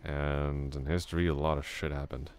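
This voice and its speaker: A chatty young male classmate , voiced by a man for an ASMR roleplay, with an extremely deep, low voice. He rambles softly and thoughtfully, musing aloud to the listener. Small chuckles slip into his talk. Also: level tone, moderate pace.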